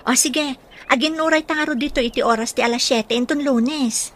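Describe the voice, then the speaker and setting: A friendly, easygoing college girl, played by a woman in a radio drama. She speaks informally in a high voice. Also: brisk, fairly bright tone. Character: friendly, informal young female university student.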